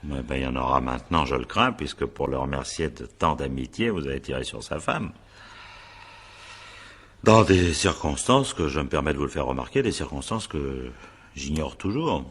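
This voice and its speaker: An actor playing a shrewd, patient examining magistrate in a French radio crime drama, probing with hesitations and polite irony. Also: male voice, rumbling low, expressive, moderate pace, a bit bright, husky, exaggerated voice. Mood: insistent.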